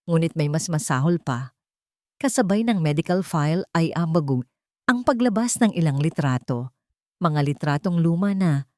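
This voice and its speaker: A woman's voice: lowish voice, a little mellow, no room sound, studio quality. A woman storyteller delivering scripted, dramatic narration for a romance audio drama.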